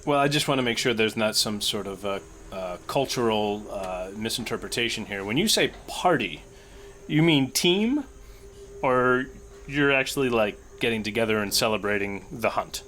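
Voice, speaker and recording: A man playing a courteous human starship captain in a tabletop roleplay, speaking formally in halting, wordy, diplomatic phrases. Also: on the low side, expressive, fairly bright tone, slight background noise. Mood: puzzled, polite. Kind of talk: improvised tabletop roleplay acting.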